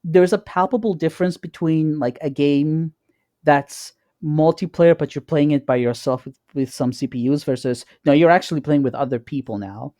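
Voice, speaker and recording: A man co-hosting a podcast, chatting informally and haltingly, often repeating words as he goes. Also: on the high side, fairly even intonation, dark tone, no echo, clean studio sound. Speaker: podcast co-host. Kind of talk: casual chat.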